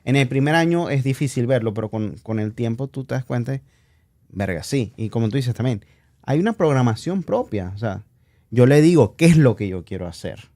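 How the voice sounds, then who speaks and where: A man speaks as a guest in an informal, reflective chat. His tone is dark and relaxed at a medium pitch. The recording is dry and close. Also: moderate pace, no echo. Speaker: podcast guest. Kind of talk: casual chat, personal reflection.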